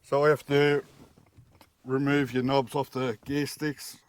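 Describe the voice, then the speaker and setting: A man's voice: mid-range voice, level tone, measured pace, clear, resonant tone. A middle-aged man with an Australian accent, giving a casual, matter-of-fact DIY walkthrough as a vlog host. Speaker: host of a DIY four-wheel-drive channel. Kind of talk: casual unboxing and installation walkthrough. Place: outdoors in a backyard, later inside a car cabin.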